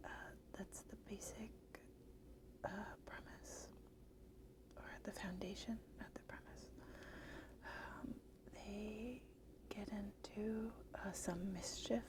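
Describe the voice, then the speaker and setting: Woman, slow unhurried whisper, slightly hoarse, hesitant ASMR book reviewer. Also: medium pitch, steady tone, poor audio. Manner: soft hesitant whisper with frequent fillers.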